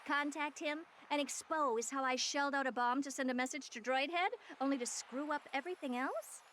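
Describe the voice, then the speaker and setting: An actress playing a smug, imperious woman scientist in an anime-style video game, curt and sarcastic with a deadpan edge. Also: sits high, a little fast, slight background noise. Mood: curt, bossy. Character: haughty, self-declared genius woman scientist, curt and sarcastic.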